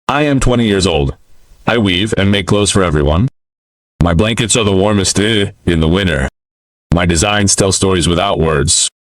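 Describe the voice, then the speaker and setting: A man reading the lines of a quiet young woman weaver, speaking softly with small hesitations. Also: low-pitched, normal speed, dry recording, natural voice, poor audio.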